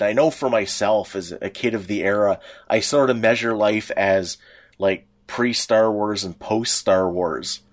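A middle-aged man co-hosting a podcast, chatting and reminiscing casually, piecing his thoughts together in a halting way. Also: fairly low pitch. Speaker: podcast co-host. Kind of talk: casual chat, personal reminiscing.

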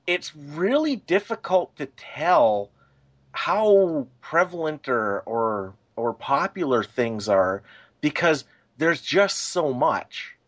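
A man's voice: fairly high pitch, very animated, slow pace, fairly bright tone. A man co-hosting a podcast, chatting casually in a rambling, colloquial way. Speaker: podcast co-host.

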